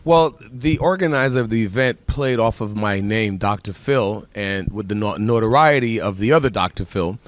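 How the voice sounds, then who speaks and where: A man's voice: on the low side, animated, normal speed, hardly any pauses, no room sound. A man born in the Bahamas, speaking as a pastor and guest on a TV panel, telling stories earnestly with emphatic repetition. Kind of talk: TV panel discussion.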